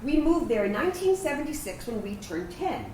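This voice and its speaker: Woman playing a wistful veteran, high and animated. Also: a little fast. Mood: fond reminiscence. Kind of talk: staged reading of a personal narrative play. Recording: roomy, distant microphone, faint background noise.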